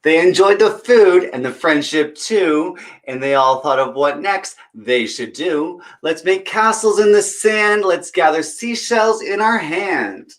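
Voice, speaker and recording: Male children's storyteller, fairly high voice, encouraging, chatty read-aloud style that speaks straight to little kids. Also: lively intonation, unhurried. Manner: encouraging, talks directly to young listeners. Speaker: children's story time reader.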